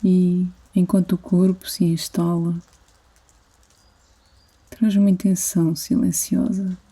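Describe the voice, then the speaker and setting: A woman with a European Portuguese accent leads a guided sleep meditation. Her voice is very dark and mellow, slightly husky, and she speaks very slowly, gently leaving long pauses between phrases. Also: on the low side, flat delivery. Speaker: yoga nidra instructor.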